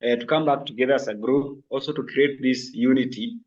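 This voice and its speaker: A man's voice: mid-range voice, fairly even intonation, a little fast. A young man telling a personal experience as a podcast guest. He speaks hesitantly, with many fillers and restarts. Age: young adult. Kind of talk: casual spoken testimony. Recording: muffled sound from a distant mic.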